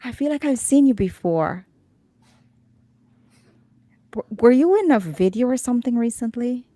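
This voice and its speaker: A woman's voice: on the high side, lively intonation, unhurried, mellow, dry recording. A woman hosting a talk show, chatting casually with her guests and repeating words as she starts sentences. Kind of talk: casual interview chat.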